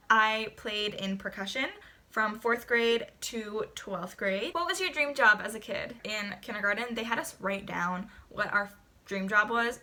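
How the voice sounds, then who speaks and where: A woman's voice: mid-range voice, bright, forceful tone. A young woman chatting casually in a get-to-know-me vlog, rambling with lots of fillers. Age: young adult. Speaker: vlogger.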